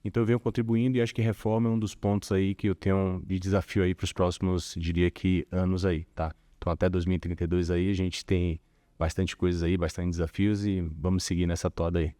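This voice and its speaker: Low-voiced Bahian man, relaxed. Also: a little fast. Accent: Bahian Portuguese. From Salvador, Bahia, Brazil. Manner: relaxed, chatty, frequent filler tags. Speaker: corporate tax director, guest. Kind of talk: casual interview conversation.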